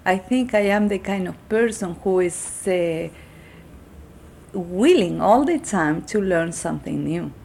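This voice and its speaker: A woman's voice: fairly low pitch, expressive, a little slow, gravelly, darkish tone, slight background noise. A middle-aged woman from Chile, a native Spanish speaker with a Chilean Spanish accent, answering interview questions in an earnest, thoughtful way. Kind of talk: reflective personal interview answers.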